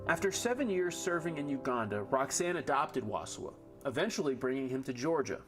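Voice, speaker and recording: A man's voice: medium pitch, very animated, brisk. Man narrating a news feature over music. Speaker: TV news reporter.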